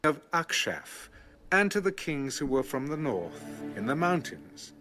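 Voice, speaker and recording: A man narrating scripture in a solemn, deliberate, scripted dramatic storytelling style. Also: fairly high pitch, very animated. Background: music.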